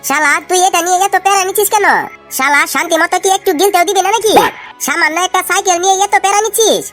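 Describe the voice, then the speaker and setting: A woman's voice: very high-pitched, very fast, fairly bright tone, faint music behind. A woman voicing a bossy, irritable young male drinking buddy in a comedy cartoon, grumbling in slang. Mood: irritated bossy. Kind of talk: amateur comedy cartoon dialogue.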